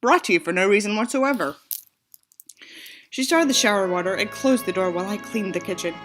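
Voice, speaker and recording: A woman's voice: mid-range voice, lively intonation, fairly quick, a bit bright, faint music behind. A woman reading an audiobook aloud with theatrical delivery and sarcastic, sweary asides. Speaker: audiobook reader and commentator. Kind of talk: scripted narration with sarcastic asides.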